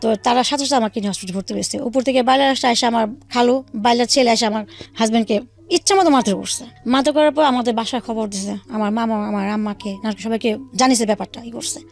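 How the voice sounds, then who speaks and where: High-pitched, quick-talking Bangladeshi woman. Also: faint music behind. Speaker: guest telling own life story. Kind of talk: personal storytelling in an interview.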